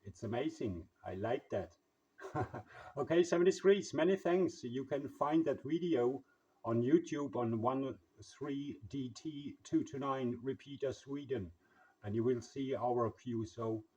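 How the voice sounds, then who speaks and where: A man with a husky voice, chatting casually over radio in halting, English. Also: medium pitch, a little mellow. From Germany. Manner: halting, non-native English phrasing. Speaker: amateur radio operator and video maker. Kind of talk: casual radio contact chat. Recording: slightly dull, lo-fi sound.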